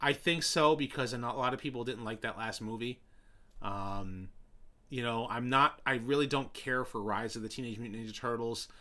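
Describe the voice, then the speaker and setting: A young man hosting a casual live stream, rambling with many fillers and restarts as he answers viewers, his voice getting hoarse. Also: mid-range voice, moderate pace, pushed and bright. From New York City.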